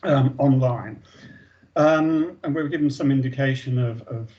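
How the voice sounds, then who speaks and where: A man's voice: medium pitch, normal speed. A man who is losing his voice gives an update in an online meeting over a video call. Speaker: meeting participant giving an update. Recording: dull lo-fi video call audio.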